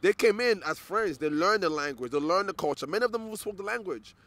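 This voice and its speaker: Nigerian man, interview guest, a bit high-pitched, earnest and halting, repeats words. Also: expressive, a bit bright. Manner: earnest, repeats words while searching for them. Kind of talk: casual interview conversation.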